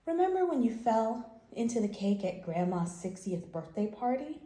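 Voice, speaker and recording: A woman's voice: a little high, very animated, normal speed, warm tone, echoey room. A woman voicing a patient, reasonable father reading out his letters to his son in a children's storybook. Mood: reasoning.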